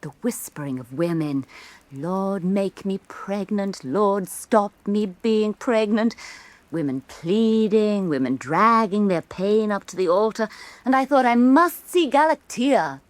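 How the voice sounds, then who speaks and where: A woman's voice: medium pitch, animated, slow, dry recording. An actress playing an elegant, insinuating woman art critic of Renaissance Venice, arch and mannered, in a theatrical radio drama. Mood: insinuating, sensual. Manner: arch, mannered, lingering, condescending.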